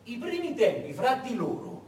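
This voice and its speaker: A male stage storyteller delivers a dramatic historical narration, often putting questions to his listeners. His voice is high and swings widely in pitch, heard in an echoey room. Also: sing-song, moderate pace, darkish tone. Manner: dramatic, addresses the audience with rhetorical questions. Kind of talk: theatrical narration of wartime history. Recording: echoey room, distant mic, faint noise.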